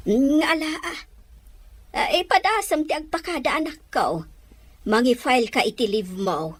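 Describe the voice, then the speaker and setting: A woman's voice: high voice, lively intonation, normal speed, slightly rough, no echo. A woman playing a fond, pleading provincial mother in an audio drama, with a Filipino accent. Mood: worried, coaxing. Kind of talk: Ilocano audio drama acting.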